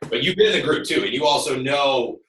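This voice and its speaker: A man with a booming voice, a fitness trainer explaining things casually and emphatically on a call, a little away from his computer microphone. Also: lively intonation, fairly quick, a bit bright, a little hiss or hum. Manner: direct, emphatic, often says "look". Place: echoing room, online call. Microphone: computer, some distance away. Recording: roomy, distant computer microphone.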